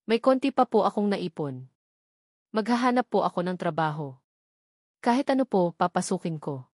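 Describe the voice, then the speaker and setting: A woman voicing a young woman who speaks politely to her father and forces her voice to stay steady in an audio drama. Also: lowish voice, normal speed, no room sound, clean studio sound. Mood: determined. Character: young woman fleeing home, brave and tender.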